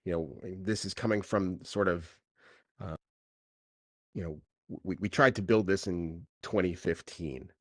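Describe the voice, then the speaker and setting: Very deep-voiced man, halting interview talk. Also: no echo, clean studio sound. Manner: hesitant, halting delivery with many filler phrases. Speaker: tech founder being interviewed.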